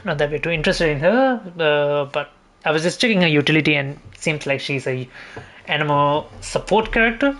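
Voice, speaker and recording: A man's voice: fairly high pitch, expressive, brisk, a bit bright. A man chatting casually as a live game streamer, rambling and repeating phrases as he thinks.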